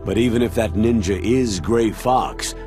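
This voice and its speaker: Man acting a deep, grave commander. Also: over music, natural voice. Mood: grave, questioning. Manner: deliberate, serious. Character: grave, probing male military commander. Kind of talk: dramatic video game voice acting.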